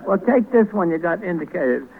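High creaky fussy radio censor. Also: male voice, animated, normal speed. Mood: prim, disapproving. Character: fussy, prudish radio censor, folksy and officious. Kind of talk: 1940s radio comedy acting. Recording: tinny, noisy old radio broadcast.

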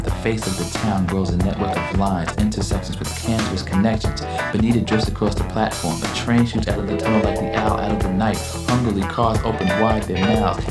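A man giving a measured, literary narration for a radio drama. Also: low voice. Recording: loud music with plucked guitar behind. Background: music, plucked guitar.

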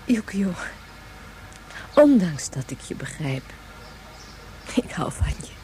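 A woman's voice: lowish voice, very animated, slow pace, dark tone, slight background noise. An actress playing a weary, devoted woman of great age in a science fiction radio play, speaking in a knowing, consoling manner. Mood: tender, sorrowful. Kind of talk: Dutch science fiction radio drama acting.

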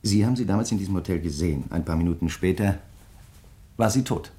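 Low male voice, actor playing polite crime novelist, measured, deliberate, insistent, radio drama. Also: warm tone, natural voice. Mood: grave, intent. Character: courteous, insistent male crime novelist probing a fellow writer. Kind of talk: German radio play acting.

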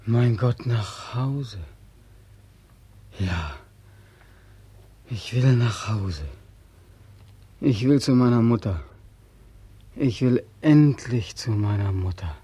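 An actor playing a despairing, homeless soldier back from war, emotional and pleading, in 1940s radio drama style. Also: male voice, medium pitch, animated, slow, long pauses, mellow, husky, dry recording. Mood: longing, hushed. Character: despairing returned soldier, homeless, anguished and naive. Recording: dry 1940s radio recording.

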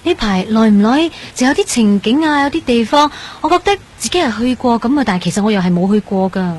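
A woman's voice: fairly high pitch, expressive, quick pace, darkish tone, slightly rough. An actress playing a dreamy, earnest young woman in a radio drama, speaking Hong Kong Cantonese. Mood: puzzled, earnest.

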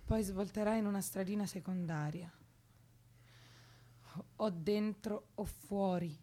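A woman's voice: mid-range voice, fairly even intonation, slow, mellow, lightly acted. An actress in an Italian radio drama playing a troubled young woman, bitter and fragile, with an intense, brooding way of speaking. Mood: brooding, resolved. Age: young adult.

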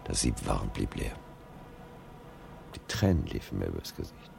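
A man's voice: rumbling low, very animated, normal speed, gravelly, noisy background, exaggerated voice. An actor playing an anguished, desperate, brooding man in a German radio drama. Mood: brooding, despairing.

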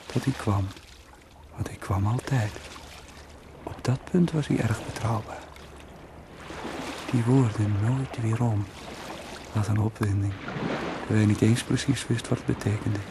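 A man's voice: lowish voice, steady tone, measured pace, pauses often. An actor in a radio play monologue, playing a brooding, wry man who muses to himself in short, bitterly ironic fragments. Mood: wry reminiscing. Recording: noisy radio play recording.

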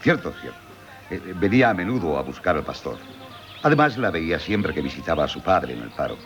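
Courteous professor, deep voice. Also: male voice, sing-song, fairly quick, fairly bright tone, faint music behind, full character voice. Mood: curious. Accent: Castilian Spanish. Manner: polite, formal. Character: courteous, attentive male professor, old family friend. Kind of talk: 1970s Spanish radio drama acting. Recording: muffled 1970s radio broadcast.

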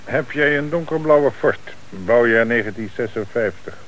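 A man's voice: mid-range voice, moderate pace, a bit bright, close to an everyday voice, low-quality recording. A man acting in a radio play as a stern, dry, businesslike police commissioner, speaking with insistent authority. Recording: hissy old radio play recording.

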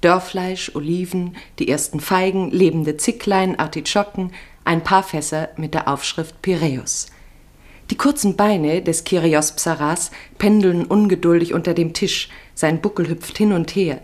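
A woman with a slightly low voice narrates a literary radio play. Her delivery is measured and descriptive, carefully scripted throughout. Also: fairly even intonation.

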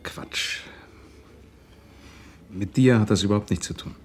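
Actor as deep-voiced, prickly, brooding man. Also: a little hiss or hum, natural voice. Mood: reluctant. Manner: terse, defensive, halting. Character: prickly, withdrawn, brooding man shutting out his friend. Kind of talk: radio drama acting.

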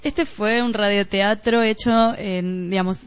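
A woman's voice: medium pitch, steady tone, a little slow, soft background music. An Argentine woman hosting a radio show, explaining informally and searching for her words. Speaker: radio host. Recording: dull lo-fi radio recording.

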